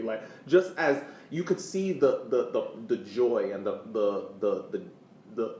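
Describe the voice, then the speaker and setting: Low-voiced American man, echoey podcast recording. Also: a little mellow. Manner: halting, repeats words while searching for phrasing. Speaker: podcast co-host. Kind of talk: casual sports podcast chat. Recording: echoey room, distant mic, faint background noise.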